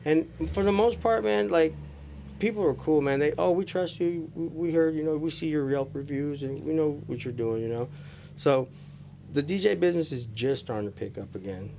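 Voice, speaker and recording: A man's voice: a little high, normal speed, gravelly, mellow, slight background noise. An American man chatting casually as a podcast guest, informal and slangy in his way of talking. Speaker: guest, wedding DJ and recording engineer. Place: small room with couches, indoors.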